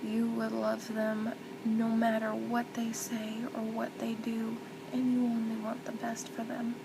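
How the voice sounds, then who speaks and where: A woman playing a gentle, comforting mother in a soft-spoken ASMR roleplay, soothing and tender, often slipping toward a whisper. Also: monotone, unhurried, vocal fry. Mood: tender, reassuring. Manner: soothing, tender, reassuring, hushed with whispery stretches. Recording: loud background noise with low rumble.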